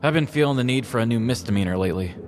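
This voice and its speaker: A man's voice: medium pitch, expressive, very fast, faint music behind, voice pushed up, lightly acted. Man voicing a brash, blunt slob woman. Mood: scheming. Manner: blunt, casual. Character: brash, careless slob woman, freeloading petty criminal.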